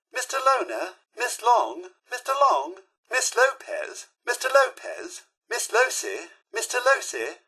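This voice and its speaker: A man's voice: high voice, gravelly, pushed and bright, husky, heavily acted, poor audio. A man voicing a polite, fussy robot butler in a video game, with a formal, theatrical way of addressing people. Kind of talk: video game voice lines, reciting names as greetings.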